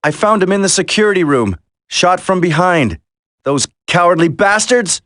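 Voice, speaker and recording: Determined young commando in a video game, very animated pitch, dry close sound. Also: male voice, moderate pace, no echo. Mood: grave. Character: steady young male special-forces soldier, earnest and determined. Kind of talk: dramatic video game voice acting.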